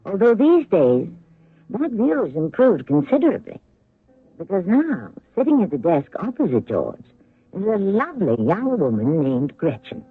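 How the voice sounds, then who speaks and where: A man hosting and narrating a 1970s radio drama with dramatic, deliberate phrasing. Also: high-pitched, wildly swooping pitch, husky, dry recording. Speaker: host and narrator. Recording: narrow-band old radio recording.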